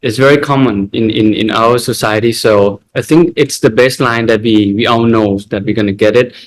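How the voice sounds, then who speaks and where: A young actor from Thailand speaking English with a Thai accent, halting and repeating words as he searches for phrasing. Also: on the low side, rough recording.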